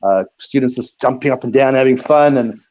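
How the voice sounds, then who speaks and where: A man's voice: medium pitch, a little fast, a little mellow, lo-fi sound. A middle-aged man from South Africa, a DJ and producer, answering interview questions in a rambling way, repeating words and laughing often.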